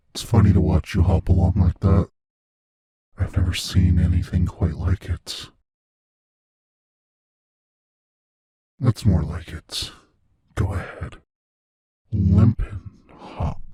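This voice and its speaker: Predatory monster played by a man, ASMR audio drama: dark soft tone, unhurried, breathy, toying and menacing. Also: deep voice, dry recording, exaggerated voice. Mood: amused taunting. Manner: taunting, deliberate, often dropping into breathy whispers. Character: sadistic, playful predatory monster toying with his prey.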